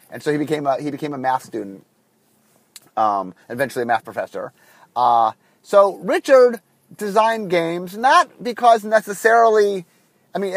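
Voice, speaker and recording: A man talking through a cold, his voice husky, rambling along with many ums and false starts. His voice sits fairly high and sounds close and dry. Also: no room sound. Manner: rambling, full of ums and restarts. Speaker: podcast host. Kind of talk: casual solo podcast storytelling. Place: car, recording on the drive to work.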